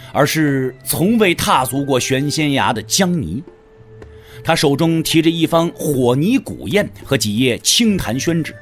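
Male narrator for martial-arts drama; lively intonation, slightly high voice, scripted storytelling.

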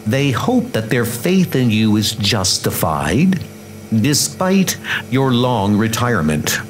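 A man's voice: mid-range voice, big pitch swings, takes it slowly, faint music behind. A man voicing a cold, formal female leader of a warrior sisterhood in a comic dub, speaking commandingly. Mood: cool, formal.

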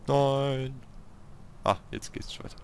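A man giving casual gameplay commentary, talking to himself in short, halting remarks. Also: medium pitch, fairly even intonation, vocal fry, a little mellow, slight background noise. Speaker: let's player hosting a city-building game series.

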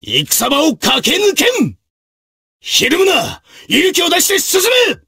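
An actor voicing a brave, martial samurai officer in a video game, with gruff, raspy, declamatory delivery in archaic period speech. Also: male voice, very high-pitched, huge pitch swings, takes it slowly, heavily acted. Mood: rousing battle cries. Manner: forceful, declamatory.

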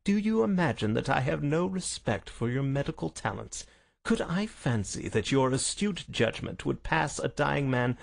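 Storybook detective, played by a male reader: self-assured, wry, theatrical, precise diction, husky and creaky. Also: fairly high pitch, pitched above the performer's own voice, heavily acted. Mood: wry, reassuring. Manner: precise, lofty, courteous. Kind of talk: dramatised audiobook reading.

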